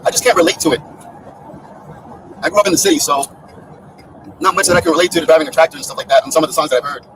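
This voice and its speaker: A man born in Puerto Rico and raised in Boston, calling in and chatting casually, quick and repetitive. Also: fairly high pitch, a crowd in the background, background noise. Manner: fast, chatty, repeats himself. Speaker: caller. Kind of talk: casual chat.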